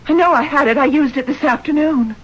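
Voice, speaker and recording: An actress playing a distressed, bewildered wife in a 1970s radio drama, earnest and dramatic. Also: female voice, high voice, a little fast, natural voice. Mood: anxious. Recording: muffled, noisy vintage radio broadcast.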